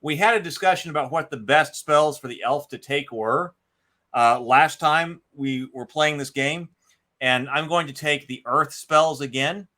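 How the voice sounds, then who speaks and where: A man's voice: on the high side, unhurried, pushed and bright. A man hosting a solo board game session, talking casually through his moves and dice counts as he thinks aloud. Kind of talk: casual live play-by-play with narrated moves.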